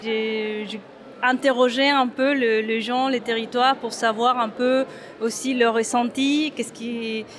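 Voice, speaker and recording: A hesitant woman from Mexico with a somewhat high voice answers an interviewer's questions about her work. She restarts her sentences often and fills pauses with "uh" as she searches for words. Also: fairly even intonation, a bit bright, a little hiss or hum. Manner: hesitant, many fillers and restarts. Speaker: photographer. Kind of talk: interview at an exhibition opening.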